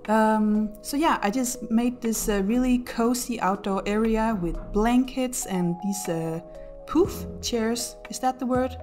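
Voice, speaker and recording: A Danish woman with a Danish accent, talking through her build in a casual voice-over at home, halting with many ums and self-deprecating laughs. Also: a little high, a little mellow, faint music behind. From Denmark. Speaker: YouTuber narrating a build video.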